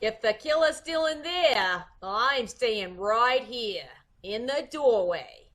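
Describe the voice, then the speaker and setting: Slangy young chorus girl, high voice, slow theatrical delivery, radio mystery comedy. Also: expressive, fairly bright tone, full character voice. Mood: defensive, flippant. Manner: slangy, theatrical, wailing protests. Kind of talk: old-time radio comedy-mystery acting.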